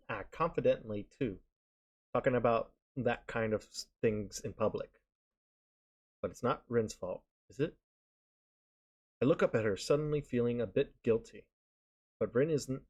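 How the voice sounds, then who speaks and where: A man reading visual novel narration aloud on a stream, haltingly, often stumbling over words and correcting himself. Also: medium pitch, darkish tone. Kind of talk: live read-aloud of narration. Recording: guitar music behind the voice. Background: game background music with guitar.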